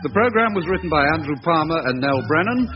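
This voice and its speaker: A male announcer reading the closing credits in a measured, formal way. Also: a little high, animated. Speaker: radio announcer. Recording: muffled radio broadcast over music.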